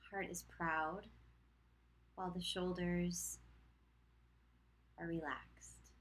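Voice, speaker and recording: Calm woman yoga teacher doing guided meditation, really slow, flat even tone, gentle cues w long gaps. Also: fairly low pitch, low-quality recording.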